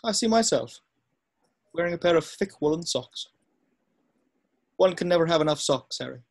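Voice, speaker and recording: Man playing measured, wise old wizard headmaster, higher-pitched. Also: animated. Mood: gentle, solemn. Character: wise, gentle old male wizard headmaster, calm mentor. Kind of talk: scripted table read acting.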